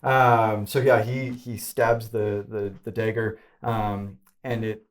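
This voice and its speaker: A man narrating a tabletop game as game master, haltingly, searching for his words as he improvises. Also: on the low side, level tone.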